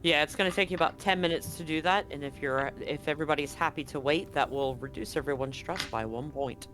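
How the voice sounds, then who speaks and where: A man's voice: a little high, fairly quick, over music. A man running a tabletop roleplaying game as game master, describing scenes in a rambling, self-correcting way.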